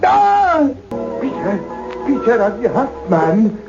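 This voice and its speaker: An actor playing an eager, excitable male scientist in a sci-fi radio play. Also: very high voice, slow pace, music in the background, heavily acted. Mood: alarmed, concerned. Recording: dull radio recording with background noise.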